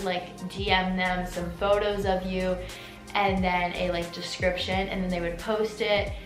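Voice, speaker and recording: 18 yo girl rambling thru a q&a vlog, says like a lot, kinda sore throat, echoey room w music going. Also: fairly low pitch. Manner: chatty, lots of "like" fillers. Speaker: vlogger. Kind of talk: casual Q&A vlog. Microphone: camera mic.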